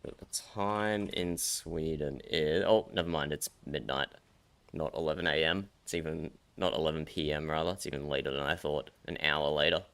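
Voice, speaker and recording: Deep-voiced man, freshly woken. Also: steady tone, normal speed, fairly bright tone. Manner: rambling, self-correcting, teasing. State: croaky from just waking up. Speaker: streamer host. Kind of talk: casual livestream chat. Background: faint background music.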